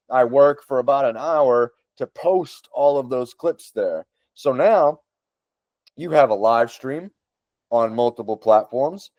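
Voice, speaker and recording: A man's voice: mid-range voice, dark tone, dry recording. A man with a Boston accent, a podcast host walking through social media tools in casual talk. He speaks with enthusiasm and repeats words for emphasis.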